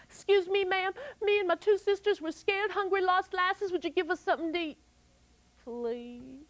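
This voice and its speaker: A woman's voice: extremely high pitch, moderate pace, pitched above the performer's own voice. A woman voicing a clever, plucky young girl with folksy speech. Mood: pleading. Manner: folksy dialect, pleading politely.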